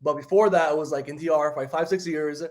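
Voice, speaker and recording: A man's voice: on the high side, fast talker. Chatty young New man on a call. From New York City. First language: Spanish. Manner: relaxed, chatty, many "like" fillers. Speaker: guest. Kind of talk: casual interview chat. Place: home room, remote video call. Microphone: video call.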